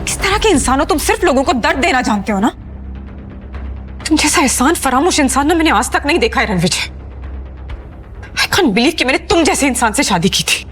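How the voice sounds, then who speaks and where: High-voiced indignant, haughty ex-wife. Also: female voice, very animated, a little fast, long pauses, fairly bright tone, soft background music. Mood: indignant, hurt. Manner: scolding, haughty. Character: indignant, commanding rich ex-wife, young woman. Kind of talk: Hindi audio series acting.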